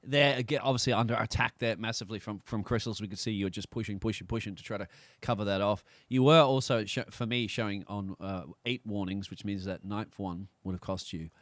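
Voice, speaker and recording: A man hosting a sim racing league stream, interviewing the winner in a quick, friendly way. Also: lowish voice, steady tone, a bit bright, no room sound. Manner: quick, friendly, calls people mate. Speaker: league commentator and host. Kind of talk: casual live-stream commentary interview.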